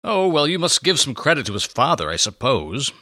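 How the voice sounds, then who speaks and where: A man voicing a gentle, emotional, humble grandfather in a dramatic story reading. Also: mid-range voice, sing-song, fairly quick, no echo, studio quality, pitched above the performer's own voice. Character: tender, humble grandfather devoted to his daughter.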